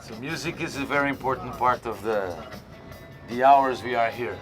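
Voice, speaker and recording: A man's voice: mid-range voice, normal speed, distant mic, over music. A man working as a coast guard officer, giving a documentary interview while out on patrol. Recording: off-mic, windy boat engine noise. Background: boat engine and wind.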